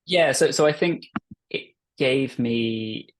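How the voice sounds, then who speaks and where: A man's voice: mid-range voice, takes it slowly. A man from North Wales whose first language is Welsh, an author and journalist answering interview questions with many fillers and restarts. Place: family home, remote call.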